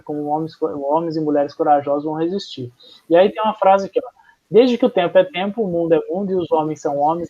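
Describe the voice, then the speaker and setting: A man's voice: fairly high pitch, a little mellow. Chatty Brazilian male teacher, lo-fi sound. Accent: Brazilian Portuguese. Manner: chatty, informal, frequent fillers and restarts. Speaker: history teacher. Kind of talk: casual classroom lecture. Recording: dull, lo-fi.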